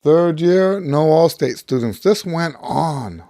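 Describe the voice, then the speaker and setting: A middle-aged American man, slightly hoarse as he gets over losing his voice, talking casually and ramblingly like a teacher sharing experience. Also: a little high, animated, slow, warm tone, dry recording, clean studio sound. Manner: rambling, conversational, thinking aloud. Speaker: trumpet teacher. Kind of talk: casual talk to camera, personal anecdote.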